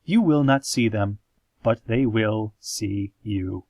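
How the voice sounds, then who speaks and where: A man playing a calm, eerie small-town radio announcer, reading scripted parody news in a measured, theatrical, deadpan way. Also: on the low side, no echo. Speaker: radio host in a parody podcast.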